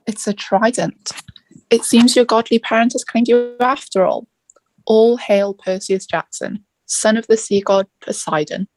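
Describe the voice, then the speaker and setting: Woman as a measured, fairly high-voiced mentor. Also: steady tone, close to an everyday voice, low-quality recording. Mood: solemn. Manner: measured, formal, reasoning. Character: calm, protective male centaur mentor. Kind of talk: theatrical table read of a musical.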